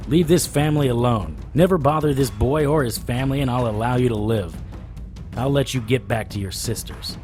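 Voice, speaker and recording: A man's voice: medium pitch, a little fast, darkish tone, soft background music, lightly acted. A man playing a tough, wry supernatural investigator, acting and narrating in a noir audio drama. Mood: firm, commanding. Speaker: narrator and lead character.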